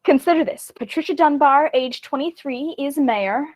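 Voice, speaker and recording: An argumentative, self-assured actress in a stage comedy acted by a woman. Her voice is very high, and she delivers her scorn and indignation with emphatic theatrical force. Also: normal speed, darkish tone, natural voice, poor audio. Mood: defiant.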